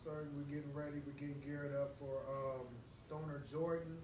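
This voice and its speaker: A man's voice: on the high side, flat delivery, normal speed. A man, a musician guest, talking earnestly and repeating points. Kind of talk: casual chat. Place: bar and grill. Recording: echoey bar, far from mic, background noise.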